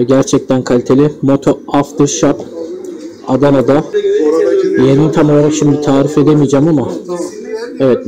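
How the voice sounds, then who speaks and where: A man giving casual motorcycle vlog commentary as the host, recorded on an action camera. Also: medium pitch. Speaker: motovlogger, host. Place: outdoors among parked motorcycles and on the road. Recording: noisy action camera recording, street and traffic.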